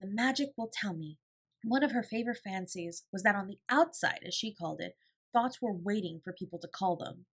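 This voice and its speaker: Expressive woman reading a classic storybook. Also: medium pitch, a little fast, vocal fry. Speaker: audiobook narrator.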